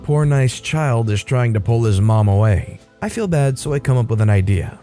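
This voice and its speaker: A man narrating a Reddit story in a steady, scripted reading voice. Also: mid-range voice, moderate pace, faint music behind. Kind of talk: reading a Reddit post aloud.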